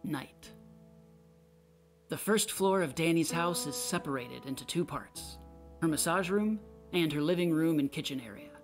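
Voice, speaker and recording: A woman's voice: sits low, lively intonation, a little slow, faint music behind. A woman reading a play's character notes and stage directions as scripted narration.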